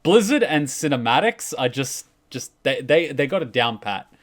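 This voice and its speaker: A man's voice: medium pitch, very animated, moderate pace. A man streaming casual, conversational storytelling commentary, explaining game lore in a jokey way.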